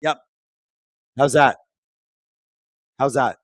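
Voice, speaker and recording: A man with a thin, raspy, hoarse voice hosts a live hockey watchalong, chatting casually, reading viewer comments and calling plays excitedly. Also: medium pitch, very slow, no room sound, studio quality. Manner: casual, reads viewer chat aloud; excitable play-by-play on big moments. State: hoarse, voice squeaking. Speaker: watchalong host. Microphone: streaming microphone.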